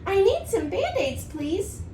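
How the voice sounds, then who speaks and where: Storybook part: small polite female spider, high and sing-song, read by a woman, roomy sound. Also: sing-song, slow, recorded from a distance, noisy background, exaggerated voice. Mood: distressed. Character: cheerful, polite little girl spider.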